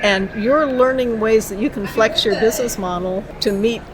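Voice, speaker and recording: A woman's voice: on the high side, moderate pace, background noise. A woman interviewing a guest in a conversational way, recorded live at a convention. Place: live convention, busy surroundings.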